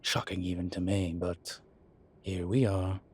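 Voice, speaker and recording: Man playing a deep, husky demon professor. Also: monotone, a little mellow. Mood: wry, reassuring. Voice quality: husky, breathy low voice. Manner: slow, halting, measured delivery with sighs. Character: ancient male demon professor, gruff but contrite and caring. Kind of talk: intimate audio roleplay to one listener.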